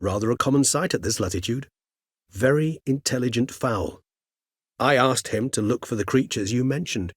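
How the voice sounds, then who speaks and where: An actor playing a courteous, erudite old male vampire in a video game, speaking in eloquent, formal, measured phrases with wry philosophy. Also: deep voice, husky, dry recording, clean studio sound. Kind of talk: video game character dialogue.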